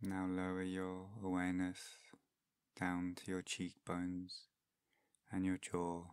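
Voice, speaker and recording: A man guiding a meditation in a soft-spoken, soothing, unhurried manner with long pauses between phrases. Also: low voice, monotone, clear, resonant tone, no echo. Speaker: meditation guide. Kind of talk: guided meditation narration.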